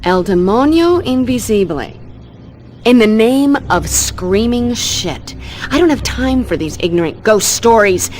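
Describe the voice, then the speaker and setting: A woman's voice: fairly high pitch, lively intonation, a little slow, a little mellow. A woman playing a haughty, ruthless crime heiress in a game cutscene, imperious, cutting and contemptuous. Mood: exasperated. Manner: imperious, foul-mouthed, cutting. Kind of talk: dramatic video game cutscene acting. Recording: background music under the voice.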